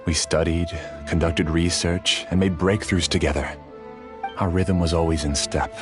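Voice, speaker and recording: A man's voice: sits low, steady tone, normal speed, music in the background, natural voice. A man voicing a polite, scheming scholar in a video game, with an eloquent, theatrical manner. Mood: earnest, proud.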